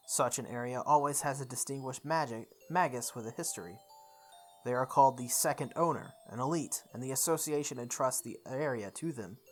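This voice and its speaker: A let's play host narrates a visual novel in a mid-pitched voice over music. The reading is deliberate, like a story told aloud, and now and then trips on a word. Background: background music.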